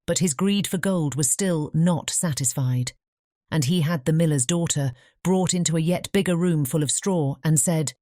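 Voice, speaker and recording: A woman's voice: deep voice, moderate pace, warm tone, no room sound, studio quality. A woman with a British English accent reading scripted fairy tale narration as an audiobook narrator.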